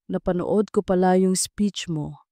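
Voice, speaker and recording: A woman voicing a friendly, helpful young male team leader in an audio drama, warm and encouraging in a casual way. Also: fairly low pitch, unhurried, dry recording, studio quality. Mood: admiring.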